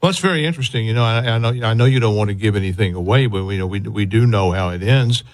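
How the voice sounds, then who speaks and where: A man hosting a conversational interview, halting and rephrasing his thoughts as he goes. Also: lowish voice, no room sound. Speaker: interviewer, host.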